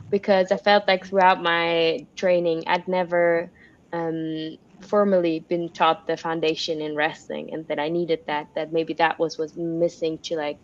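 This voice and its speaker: Swiss woman, first language swiss german, chatty upbeat guest, lowish voice, kinda muffled lo-fi sound. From Switzerland. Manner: upbeat, rambling with many fillers. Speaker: interview guest, pro wrestler. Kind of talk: casual interview answers.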